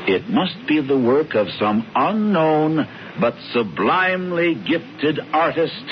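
A pompous, scheming brother reads the news aloud with theatrical relish. His voice swings widely in pitch. The sound is a dull old radio broadcast with a faint hiss. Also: very animated, takes it slowly. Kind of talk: 1970s radio drama acting.

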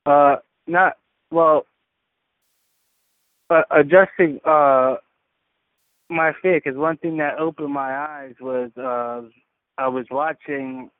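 A man's voice: fairly high pitch, steady tone, slow pace, long pauses, clear, resonant tone, no echo. Man on a muffled, narrowband phone line. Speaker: caller on a faith community call. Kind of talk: casual, reflective personal sharing.

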